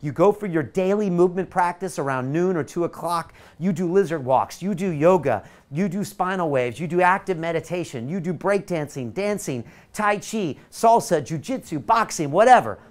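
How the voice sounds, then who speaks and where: A man's voice: high-pitched, animated, normal speed, few pauses. A man from California, hosting an online masterclass and leading a motivational guided visualization with eager, coaxing energy.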